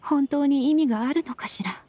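Soft, wistful spirit woman voiced by an actress. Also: high-pitched, normal speed, soft background music, lightly acted. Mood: wistful, sorrowful. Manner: soft, polite, hesitant, often slipping into breathy murmur. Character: wistful, gentle, polite spirit woman in human form. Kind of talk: Japanese anime game voice acting.

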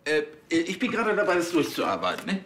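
An actor playing a pedantic, penny-pinching police chief who lectures in an officious manner. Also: male voice, fairly high pitch, sing-song, brisk, a bit bright, a little hiss or hum. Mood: smugly lecturing.

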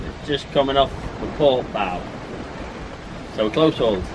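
A man's voice: mid-range voice, animated, moderate pace. Brief: young man, sailing vlogger, dry teasing chat, heavy wind and engine noise on the mic. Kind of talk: casual vlog narration. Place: on a sailboat outdoors. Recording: loud wind and boat noise.

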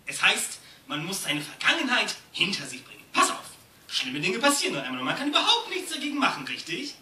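A man's voice: high voice, huge pitch swings, brisk, sharp tone, far from the mic, exaggerated voice. A man performing a bossy, carefree, wisecracking animal sidekick in a theatrical live audio play. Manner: theatrical, exclamatory. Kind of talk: live comedic audio play reading on stage. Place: stage in a dark auditorium. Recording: roomy, noisy phone recording from audience.

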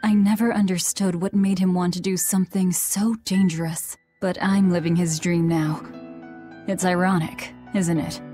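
A woman as a low-voiced, guarded young swordswoman. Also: flat delivery, moderate pace, dark tone, soft background music, lightly acted. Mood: subdued, wistful. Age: young adult. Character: bitter, guarded young swordswoman, brusque and hardened. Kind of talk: video game acting.

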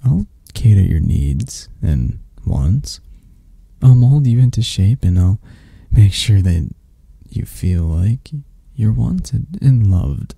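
A man voicing an obsessed, flirty yandere captor in an intimate ASMR roleplay, sweet and menacing, with lingering pauses. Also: fairly low pitch, big pitch swings, warm tone, no room sound, exaggerated voice. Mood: coaxing menace. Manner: slow, intimate, breathy sighs and pauses.

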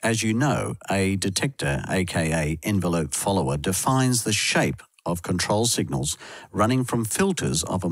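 A man reading scripted instructional narration in a measured, explanatory way, as a tutorial voice-over. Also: low-pitched, breathy edge, no room sound. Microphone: close voice-over mic, dry studio sound.

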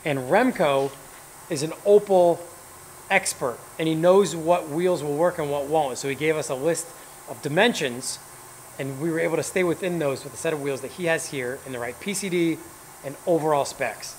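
A man in his thirties hosting a car vlog, talking fast and with energy while working in a tire workshop. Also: fairly high pitch, slight background noise, poor audio. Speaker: car builder and vlog host. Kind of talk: casual on-camera vlog. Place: indoor tire workshop garage.

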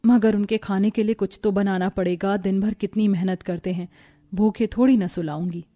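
A woman voicing a poor, patient young married woman in a cartoon family drama, with a weary, gently pleading manner. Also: medium pitch, steady tone, moderate pace, natural voice. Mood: worried. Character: poor, patient, self-sacrificing young married sister-in-law.

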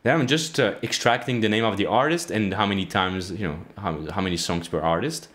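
Man, 30s, low voice; relaxed coding-tutorial host, halting and full of fillers, recorded close in a small room. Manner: halting, many fillers and restarts. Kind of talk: casual screen-share coding walkthrough. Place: small indoor room, plain wall.

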